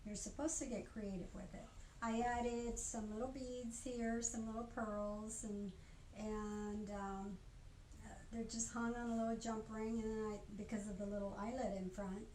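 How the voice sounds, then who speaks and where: An older woman giving an informal craft demonstration in a chatty, halting way, often stopping to rephrase. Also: on the high side, fairly even intonation, a little mellow. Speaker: craft instructor. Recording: roomy echo, faint background noise.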